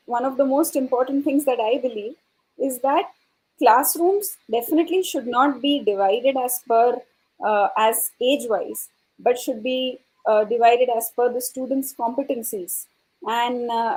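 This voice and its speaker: Female panelist, high-pitched and husky, explaining ideas in a discussion with thoughtful breaks. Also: unhurried, darkish tone, poor audio. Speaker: panelist, educator.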